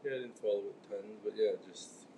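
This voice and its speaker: A man co-hosting a radio chat, hesitant and quiet, with lots of fillers, set away from the mic. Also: fairly low pitch, fairly even intonation, a little fast, a little hiss or hum, rough recording. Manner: hesitant, soft-spoken, filler-heavy. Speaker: co-host. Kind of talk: casual chat. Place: radio studio. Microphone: off-axis from camera mic.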